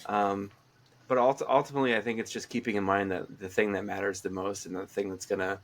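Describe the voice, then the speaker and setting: A man's voice: fairly low pitch, flat delivery, brisk. A man, a comic writer, talking reflectively in a casual interview while stuffy with a cold. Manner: reflective, with fillers and laughs. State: stuffy, congested.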